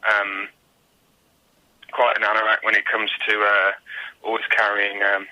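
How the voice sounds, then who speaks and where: Low, very bright-voiced British man. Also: completely flat delivery, a little slow, muffled recording. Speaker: guest singer-songwriter. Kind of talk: casual interview talk.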